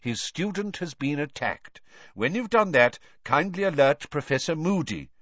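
A man voicing an elderly wizard headmaster in an audiobook, courteous, measured and commanding. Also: a little high, very animated, fairly bright tone, no room sound, lo-fi sound, pitched above the performer's own voice. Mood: urgent, commanding. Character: authoritative elderly male headmaster wizard, calm but urgent.

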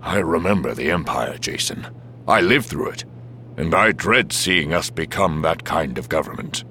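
A man voicing a wary, weary older military chief in an audiobook, with a formal, measured and emphatic delivery. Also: very deep voice, expressive, sharp tone, a little hiss or hum, full character voice. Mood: grave, firm.

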